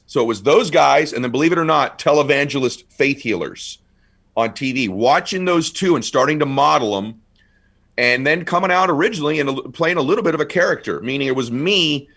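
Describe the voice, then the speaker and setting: A middle-aged man in casual conversation as a guest, telling stories with animated bursts of excitement. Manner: animated, quick storyteller, rising to excited highs. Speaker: guest speaker.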